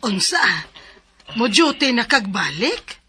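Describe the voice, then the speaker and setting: A man's voice: extremely high pitch, big pitch swings, takes it slowly, loud music behind the voice, pitched above the performer's own voice, exaggerated voice. A man voicing a worried, caring middle-aged mother in a radio drama. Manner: fussing, caring.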